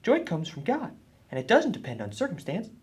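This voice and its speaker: A woman voicing an earnest, husky boy puppet who explains things helpfully in a children's puppet show. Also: mid-range voice, huge pitch swings, brisk, a little mellow, heavily acted.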